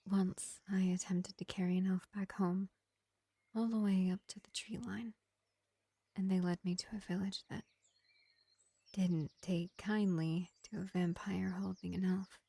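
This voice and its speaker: A woman voicing a kindly vampire field medic in a soothing, unhurried, whispery roleplay addressed to the listener. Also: medium pitch, steady tone, vocal fry, mellow, breathy edge, no room sound. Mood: reassuring, regretful. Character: gentle, kindly vampire field medic tending a wounded elf.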